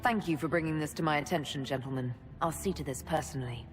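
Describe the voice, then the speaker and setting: A woman's voice: low voice, quick pace, over music, natural voice. An actress playing a firm, level-headed woman leader, decisive and controlled, in a video game. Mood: commanding. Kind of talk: video game dialogue acting.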